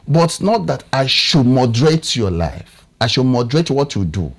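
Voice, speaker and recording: A man in his thirties with a Nigerian English accent, a political analyst talking in a TV studio, often pausing to search for words. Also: medium pitch, sing-song, breathy edge. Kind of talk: studio discussion.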